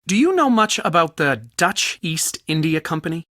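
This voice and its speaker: A man's voice: fairly high pitch, sing-song, normal speed, bright, forceful tone, dry recording, clean studio sound. A man playing an eccentric, anxious, bookish museum curator in a video game, with a theatrical, wordy and wryly dramatic delivery. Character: eccentric, bookish, anxious male culture center curator Soren.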